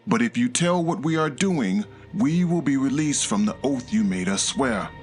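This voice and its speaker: A man's voice: mid-range voice, expressive, moderate pace, faint music behind, lightly acted. A man playing a solemn Israelite spy in a dramatized scripture reading, speaking firmly. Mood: firm, warning. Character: two solemn male Israelite spies making an oath.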